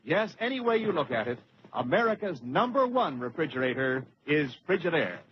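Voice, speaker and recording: A man's voice: fairly high pitch, very animated, moderate pace. A man announcing a scripted old-time radio advertisement in an emphatic, declamatory way. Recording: dull vintage radio recording, faint noise.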